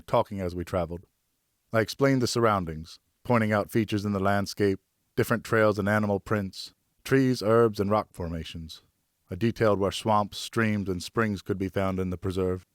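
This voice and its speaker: A man's voice: lowish voice, no room sound, clean studio sound. A man reading a scripted first-person novel as an audiobook narrator.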